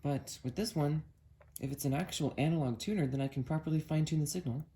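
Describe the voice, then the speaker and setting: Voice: medium pitch, quick pace, dark tone, slightly rough. A hobbyist talking casually through a hands-on product demo, thinking aloud in a musing, matter-of-fact way. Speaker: amateur video maker, radio hobbyist.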